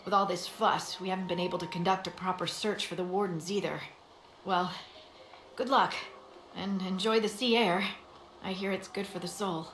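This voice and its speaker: A woman's voice: fairly low pitch, fairly even intonation, normal speed, fairly bright tone, a little hiss or hum, close to an everyday voice. A woman playing a dutiful, earnest scout in a video game, respectful and matter-of-fact. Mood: earnest, reporting. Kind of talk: video game dialogue acting. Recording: echoey game capture, faint ambience.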